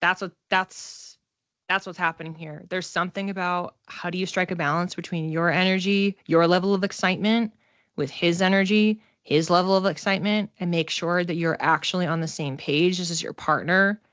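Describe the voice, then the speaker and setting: A woman giving a casual one-to-one card reading as a psychic, talking conversationally and haltingly, circling back over phrases as she thinks aloud. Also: on the low side, fairly even intonation, a bit bright, dry recording, dull audio. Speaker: psychic reader.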